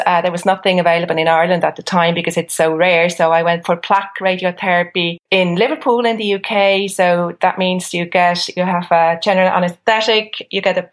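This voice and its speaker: A middle-aged woman from Switzerland, an interview guest who works as a nutritional therapist, telling her personal story. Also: on the low side.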